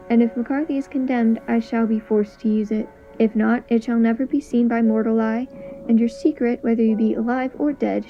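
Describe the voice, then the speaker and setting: A woman playing a grave, merciful Victorian male detective in an amateur audio drama, speaking in a measured, formal way. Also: fairly high pitch, dark tone, lightly acted. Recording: dull lo-fi recording, music behind.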